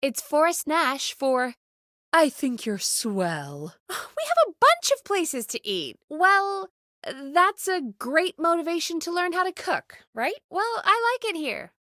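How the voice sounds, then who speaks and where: An actress playing a friendly, teasing woman who produces a small-town radio show in a video game, talking in an earnest, expressive, chatty way. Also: very high-pitched, slow, no room sound, studio quality, heavily acted. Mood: playful, teasing. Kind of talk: video game voice acting, conversational.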